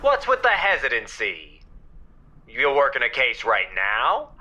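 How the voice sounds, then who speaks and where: A slick agency boss in an audio drama, coaxing and needling. His voice is intensely bright and forceful. He draws his words out slowly with a very animated melody. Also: medium pitch, husky, dull audio, full character voice. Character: slick, wheedling male psychic-agency boss. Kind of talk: scripted audio drama acting. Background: music.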